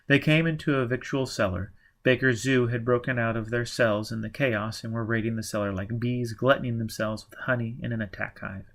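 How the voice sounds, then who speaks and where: A man's voice: medium pitch, fairly even intonation, brisk, a bit bright. A man reading a novel aloud as dramatic scripted narration. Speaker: audiobook reader.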